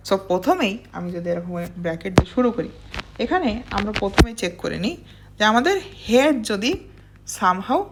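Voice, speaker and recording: A woman teaching programming step by step, often checking in with questions and mixing in English technical terms. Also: a little high. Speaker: programming instructor. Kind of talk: informal step-by-step tutorial explanation.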